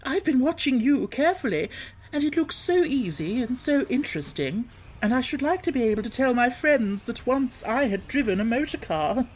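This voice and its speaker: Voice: high-pitched, animated, moderate pace, slight background noise, pitched above the performer's own voice, rough recording. A reader putting on a boastful, vain toad. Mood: pleading. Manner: gleeful boasting, shouting triumphantly. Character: boastful conceited male toad, reckless and vain. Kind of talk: storybook reading.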